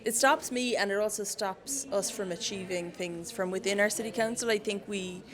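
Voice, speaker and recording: A woman's voice: mid-range voice, moderate pace, a crowd in the background. A young woman with a Cork Irish accent, a council worker as the guest in a casual interview, chatty and laughing often. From Ireland. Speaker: city council staff guest.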